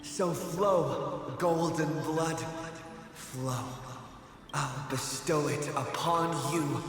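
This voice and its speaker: Slow, solemn hero in cavernous reverb. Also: male voice, fairly high pitch, fairly bright tone. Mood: solemn, resolute. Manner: measured, halting, solemn. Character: humble, solemn male hero speaking as a godlike saviour. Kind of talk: dramatic fantasy game acting. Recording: cavernous long reverb, music behind.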